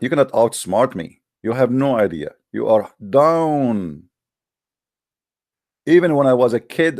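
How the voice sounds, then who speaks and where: A man's voice: mid-range voice, vocal fry, darkish tone, no room sound, clean studio sound. A man from the Middle East, a native Arabic speaker with an Arabic accent in English, hosting a religious call-in debate with a combative, boastful, emphatic monologue in short phrases.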